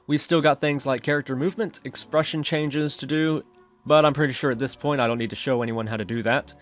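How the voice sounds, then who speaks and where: A man narrating an instructional screencast as the host of a software tutorial. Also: mid-range voice, steady tone, fairly quick, soft background music.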